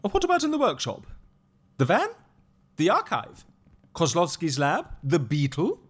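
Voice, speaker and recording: An actor playing an excitable, scatterbrained and playful schemer in a comedy audio drama, giggly and theatrical. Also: medium pitch, moderate pace, breathy edge, no echo. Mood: excited, eager.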